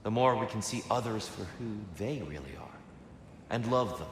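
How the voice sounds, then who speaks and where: Guy doing a wise kindly god for a video game, deep n measured, philosophical, really echoey. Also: lively intonation, a little hiss or hum, lightly acted. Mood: reflective. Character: gentle, wise male god, reflective counsellor. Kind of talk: dramatic video game dialogue. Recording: echoing hall with long reverb. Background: music.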